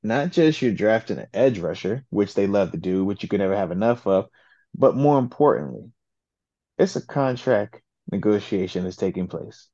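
A man co-hosting a sports talk show, giving his picks in a laid-back, slangy, deliberate way. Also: on the low side, lively intonation, mellow, no echo, highs cut off. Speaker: co-host, football analyst. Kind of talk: casual sports chat.

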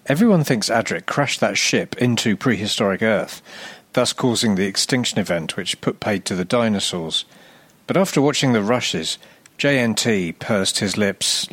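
A man's voice: on the low side, a bit bright, slightly rough, no room sound. A man reading a scripted comic narration in a dry, mock-serious manner, prone to grand overstatement. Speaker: writer and reader of a comic A to Z segment.

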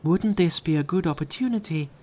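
A man's voice: on the high side, lively intonation, brisk, a little mellow, pitched above the performer's own voice, natural voice. A man voicing curious young male disciples in a storybook reading, raised and eager. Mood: coaxing.

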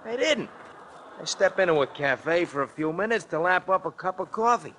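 A man's voice: sits high, lively intonation, moderate pace, fairly bright tone. A man acting a streetwise New York cab driver in a 1940s radio detective drama, with blunt, slangy working-class talk. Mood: worried, exasperated. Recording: noisy old 1940s radio recording.

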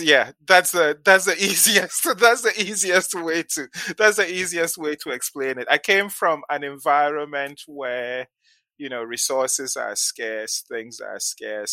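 A man's voice: medium pitch, sharp tone, no echo. A man from Ghana in his forties, a venture investor being interviewed, who often repeats words as he starts a thought and laughs lightly. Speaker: guest, venture capital investor. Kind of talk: casual interview talk.

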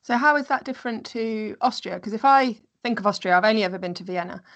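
Woman podcast host with a gravelly edge. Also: a little high, fast, fairly bright tone. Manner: friendly interviewer, prompts with short questions. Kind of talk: scripted intro then casual interview.

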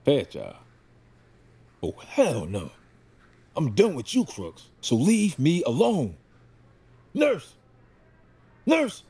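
A man's voice: a little high, wildly swooping pitch, very slow, pauses often, a little mellow, slightly rough, heavily acted. Man acting a wildly swooping, folksy working-class guy. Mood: indignant. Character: recovering working-class male patient, folksy, suspicious, hot-tempered. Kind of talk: audio drama acting.

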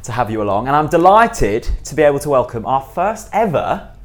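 Podcast host, man, mid-pitched voice, friendly and talkative interviewer. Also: sing-song, a little fast. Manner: upbeat, chatty, asks several questions in a row.

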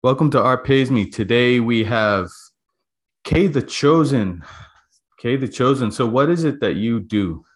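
A man in his forties hosting an interview podcast, talking reflectively with frequent pauses and fillers. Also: medium pitch, animated. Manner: reflective, halting, many fillers. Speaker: podcast host. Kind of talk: casual interview talk. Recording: echoey room.

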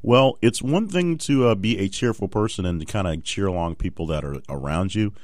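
A man's voice: low voice, expressive, fairly quick, darkish tone, no echo, clean studio sound. A man hosting a solo motivational podcast, talking off the cuff with fillers and restarts. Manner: unscripted, rambling with fillers and restarts. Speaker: podcast host.

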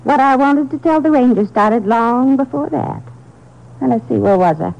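Prim rambling old lady in an old radio play, soft dark tone, swoopy, muffled. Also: high-pitched, lively intonation, moderate pace, creaky. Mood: fussy. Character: fussy older woman hotel guest. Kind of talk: 1950s radio drama acting.

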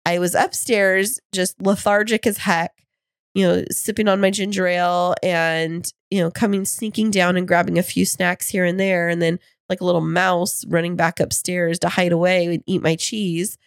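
Hoarse woman podcast co-host, teasing. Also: on the low side, normal speed, no echo, clean studio sound. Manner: teasing, animated storytelling. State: hoarse from illness. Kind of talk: casual chat. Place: home studio.

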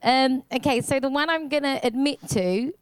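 A woman with a slightly high voice answers questions as a guest on a live podcast stage. She is chatty and self-deprecating, and laughs often. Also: normal speed, clean tone. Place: hotel event space with live audience.

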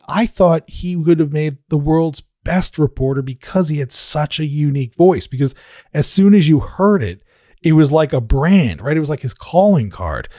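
A man with a deep voice talks through a relaxed podcast conversation. He is reflective and pokes fun at himself. His voice sits close and dry on the mic. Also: no echo. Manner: reflective, self-deprecating storyteller. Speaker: podcast host. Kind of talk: casual podcast chat.

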